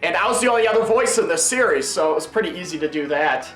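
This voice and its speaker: A man's voice: fairly high pitch, animated, fast talker, a bit bright, reverberant, soft background music, low-quality recording. A man giving casual, unscripted commentary on his own series, rambling and often interrupting himself. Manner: rambling, chatty, self-interrupting. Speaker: video creator giving commentary.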